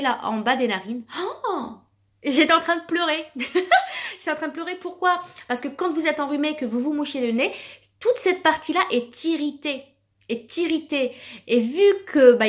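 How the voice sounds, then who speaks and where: A woman's voice: on the high side, fairly bright tone. A woman with children, chatting casually while demonstrating a cream she sells, full of fillers and repeated words. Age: adult with children. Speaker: product seller vlogger.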